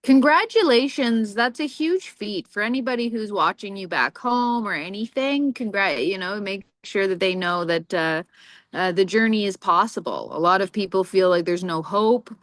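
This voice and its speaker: A woman's voice: medium pitch, moderate pace, no echo. A woman interviewing a guest in a bubbly, chatty way, laughing often. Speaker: magazine interviewer. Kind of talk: casual interview chat.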